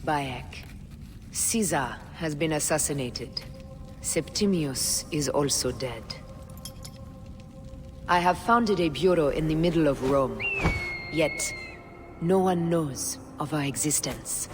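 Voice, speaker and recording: Female assassin: solemn, deliberate, breathy, unhurried game drama delivery, music behind. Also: deep voice, expressive. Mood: solemn resolve. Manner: grave, deliberate, formal. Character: solemn, resolute woman assassin, betrayed former servant of queen. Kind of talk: dramatic video game acting and narration. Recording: music under the voice.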